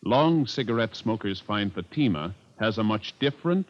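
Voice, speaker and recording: A man announcing in a formal, measured 1950s scripted radio style. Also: on the low side, lively intonation. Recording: dull old radio recording with music.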